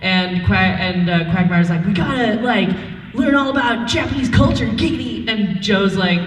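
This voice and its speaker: A woman bantering casually as a panelist at a convention, excitable and giggly. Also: mid-range voice, normal speed. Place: large indoor convention panel room. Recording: echoey hall, distant PA sound.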